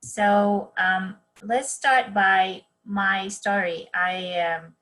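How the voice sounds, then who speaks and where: A woman's voice: mid-range voice, steady tone, drawn-out words, fairly bright tone, rough recording. A young woman from Palestine giving a keynote talk on a webinar. She tells her personal story in an earnest way, with frequent ums and restarts. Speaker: keynote speaker.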